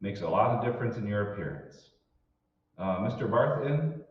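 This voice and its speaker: A man's voice: lowish voice, lively intonation, normal speed, close to an everyday voice. An actor playing an everyman office accountant in an old-time radio drama. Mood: friendly. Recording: very echoey hall, distant mic, muffled.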